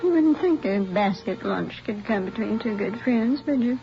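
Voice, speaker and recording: An older American woman playing a chatty, nostalgic elderly mother, gently rambling in 1950s radio drama style. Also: mid-range voice, lively intonation, normal speed, gravelly, background noise. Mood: homely. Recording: muffled vintage radio recording, faint music.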